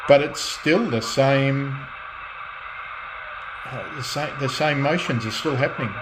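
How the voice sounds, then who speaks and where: A middle-aged man in a relaxed off-the-cuff chat as a radio hobbyist, speaking in a halting, reflective way and often restarting his phrases. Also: medium pitch, background noise. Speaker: radio hobbyist and restorer presenting own channel.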